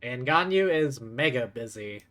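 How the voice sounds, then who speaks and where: A woman's voice: extremely low pitch, lively intonation, a little slow, a bit bright. A woman giving casual, playful livestream commentary on a game, echoing lines as she goes. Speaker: game streamer. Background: game music.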